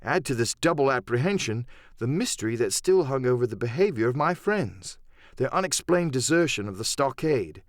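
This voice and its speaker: Male audiobook narrator for adventure fiction: dramatic, very expressive intonation, mid pitch, dry recording. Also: normal speed, dry recording.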